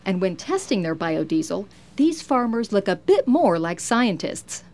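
A woman narrating a farm feature report in a bright, upbeat scripted style. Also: mid-range voice, sing-song, a little slow, a little hiss or hum. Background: soft music.

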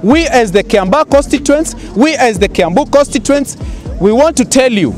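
A man's voice: extremely high pitch, expressive, normal speed, a little mellow, faint music behind. A Kenyan man pleading emphatically at an outdoor street protest, speaking into a handheld mic. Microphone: handheld mic held up to him.

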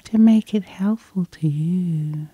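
Soft, breathy woman guiding sleep. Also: lowish voice, no room sound. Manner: soft, soothing, slow breathy half-whisper. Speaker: hypnosis guide, host. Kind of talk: whispered sleep hypnosis, ASMR guided meditation.

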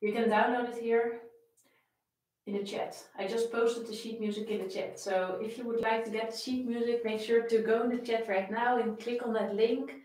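A woman's voice: fairly high pitch, fairly even intonation, moderate pace, darkish tone, very echoey, recorded from a distance, low-quality recording. A young woman with a Dutch accent, a violin teacher chatting and greeting viewers by name before a live-stream lesson from her home. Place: home room with framed pictures, indoors.

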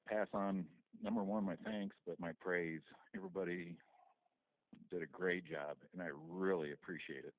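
Man, flight captain, low pitch, courteous phone talk, thin telephone sound. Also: no room sound. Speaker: airline or charter captain. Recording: dry narrow phone line.

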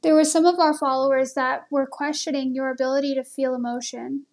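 Woman, very high voice, casual video host, conversational questioning delivery. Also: level tone, a little fast, a little mellow. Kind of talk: casual conversation with an AI companion on a phone call.

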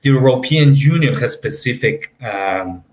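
A man's voice: mid-range voice, expressive, a little slow, darkish tone, a little hiss or hum. Man, roomy video-call sound. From Mexico. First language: Spanish. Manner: meandering, filler-heavy, leans on "you know". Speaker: panel moderator. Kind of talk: academic panel discussion. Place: home room on a video call.

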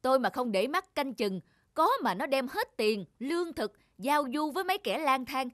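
A woman's voice: high-pitched, animated, moderate pace, a bit bright, no echo, studio quality. A woman playing a scheming middle-aged stepmother in a cartoon, nagging and accusing in a sneering manner.

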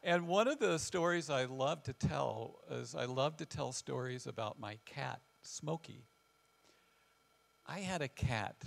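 Older male guest speaker, Midwestern US accent, gravelly with vocal fry, thoughtful pauses, telling personal stories. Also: medium pitch. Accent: American Midwestern. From west central Illinois, United States. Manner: halting, reflective, with frequent pauses. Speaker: guest speaker, family counselor. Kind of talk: informal presentation with personal storytelling. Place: large church hall with wooden floor, small seated audience.

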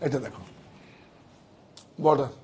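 A man's voice: measured pace, a little mellow, a little hiss or hum. A man, giving a casual, emphatic sales demonstration as a shop owner, often repeating himself, on a clip-on mic indoors. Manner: emphatic, repetitive. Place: indoor saree shop showroom. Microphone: wireless clip-on mic on chest.

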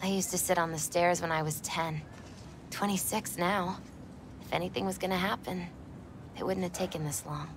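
An actress playing a wistful, soft-hearted American woman in her twenties who is grieving, speaking plainly and hesitantly in a video game drama. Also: on the low side, fairly even intonation, moderate pace, background noise, close to an everyday voice. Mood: wistful, resigned. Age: 20s.

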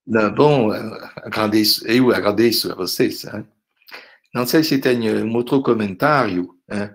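An older man with a French accent, a visiting professor wrapping up an online lecture on a video call from home, speaking haltingly with many fillers and tag questions. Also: on the low side. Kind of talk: closing remarks of an online lecture. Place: home room indoors. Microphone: laptop webcam on a video call.

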